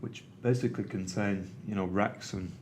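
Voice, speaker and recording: Middle-aged Mancunian man, lowish voice, relaxed presenter with lots of ums, faint room ambience. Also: moderate pace, a little hiss or hum. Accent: Manchester. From England. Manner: conversational, with frequent ums and uhs. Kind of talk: conference presentation. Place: meeting room with an audience.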